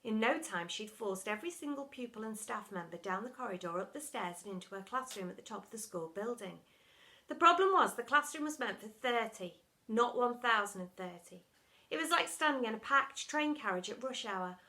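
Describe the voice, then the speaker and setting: A woman's voice: a little high, lively intonation, brisk, sharp tone. A woman narrating a children's story in a dramatic storytelling style, as for primary school pupils.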